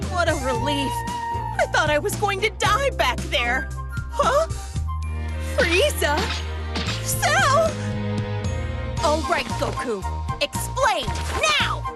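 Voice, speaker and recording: A woman's voice: extremely high pitch, sing-song, extremely slow, long pauses, exaggerated voice. An actress playing a brash, feisty young woman scientist in an English game dub, sassy and bossy. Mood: indignant. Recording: music behind the voice.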